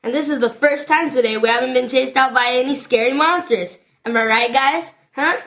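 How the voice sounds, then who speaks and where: A woman's voice: high-pitched, a little fast, a bit bright. A woman playing a chatty, jokey young friend on a quest, casual and flippant, in a fantasy audio drama. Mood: upbeat, joking. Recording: faint music behind the voice.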